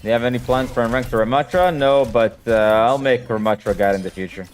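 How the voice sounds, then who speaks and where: A man's voice: medium pitch, a little fast. A man, a native Hebrew speaker, chatting casually as a game coach on a live stream and answering questions in a direct way.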